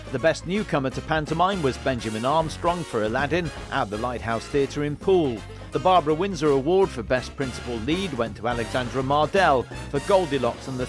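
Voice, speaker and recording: A man reading a scripted round-up of awards results as narrator. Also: mid-range voice. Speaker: podcast narrator. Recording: music and crowd underneath. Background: music and audience crowd.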